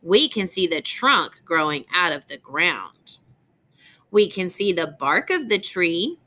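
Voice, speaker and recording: Woman, teacher read-aloud for kids, bright voice, lively intonation, deliberate phrasing. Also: fairly low pitch. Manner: deliberate, expressive phrasing addressed to young children. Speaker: teacher reading aloud to children. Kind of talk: children's picture book read-aloud with questions to listeners.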